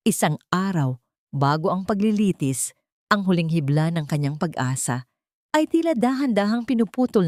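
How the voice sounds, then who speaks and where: A woman's voice: lowish voice, a little mellow, no room sound, clean studio sound. A woman narrating a scripted audio drama in a solemn, literary way.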